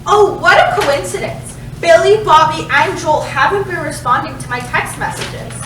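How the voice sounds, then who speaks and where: A woman plays a naive middle-school girl in a comic stage play. Her voice is extremely high and breezy, heard at a distance in an echoey, noisy room. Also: moderate pace, a bit bright.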